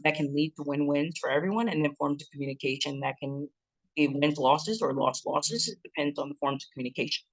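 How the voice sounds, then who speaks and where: A relationship educator with a deep, booming chest voice, giving a lively instructional talk. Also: poor audio. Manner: lively, breaks into acted quotes and laughs.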